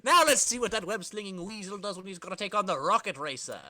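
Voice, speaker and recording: A man's voice: high-pitched, expressive, quick pace, bright, forceful tone, dry recording, full character voice. A man voicing a boastful, vengeful skateboarding comic-book villain with swaggering, slangy tough talk. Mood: scheming.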